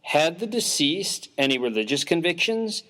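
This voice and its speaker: A man's voice: on the high side, very animated, normal speed, pushed and bright. A man playing a prim, earnest, mild-mannered clergyman in a dramatised story reading, speaking in a formal, precise, wordy way.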